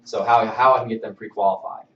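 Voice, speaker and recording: A man giving an informal, halting work presentation on templates as a mortgage trainer, recorded from a distance indoors. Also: fairly low pitch, steady tone, a bit bright, slight background noise. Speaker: mortgage loan officer giving staff training. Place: indoor training room with projection screen. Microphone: far camera microphone. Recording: distant, roomy, slightly dull mic.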